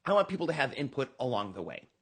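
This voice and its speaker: An American man gives a conversational campaign pitch as a podcast guest. His pitch moves around animatedly and his voice has a slightly rough, husky grain. He explains things earnestly and with emphasis. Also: sing-song, quick pace. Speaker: political candidate being interviewed.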